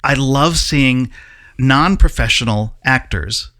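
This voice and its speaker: Bright-voiced American man hosting, dry sound. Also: medium pitch, slow, no room sound. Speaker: host. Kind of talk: conversational interview.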